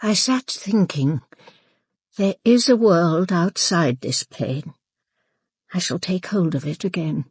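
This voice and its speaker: Slow, proud elderly upper-class British matriarch. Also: female voice, fairly low pitch, darkish tone, breathy edge, no room sound, clean studio sound. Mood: grieving, resolute. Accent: upper-class British English. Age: 80s. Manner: measured, clipped, formal. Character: proud, grieving elderly aristocratic mother, stoic and imperious. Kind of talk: radio drama with first-person narration.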